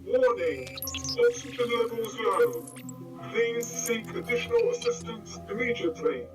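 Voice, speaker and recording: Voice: mid-range voice, unhurried. A ship's computer blaring warnings, as voiced for a drama. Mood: alarm. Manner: flat announcing alerts. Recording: distant voice over loud music and ticking.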